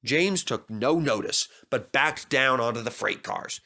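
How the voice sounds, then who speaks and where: A male storyteller reads a children's railway tale with a lively, animated delivery. His voice is bright and forceful, swinging up and down as he narrates. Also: mid-range voice, measured pace. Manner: expressive, lively. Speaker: storybook reader.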